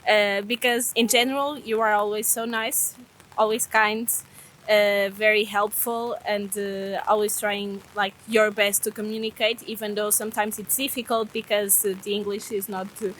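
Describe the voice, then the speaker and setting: A woman traveler with a Portuguese accent speaks at a medium pitch. She answers casually, searching for words as she goes. Outdoor background noise is clearly audible. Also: level tone, normal speed, a bit bright, rough recording. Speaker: traveler being interviewed. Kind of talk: casual spontaneous interview answers.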